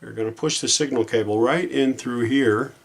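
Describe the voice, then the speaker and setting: A man's voice: mid-range voice, moderate pace, gravelly, breathy edge. A man giving a casual hands-on repair demonstration, thinking aloud in an informal, folksy way as he works. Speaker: repair hobbyist hosting a how-to video.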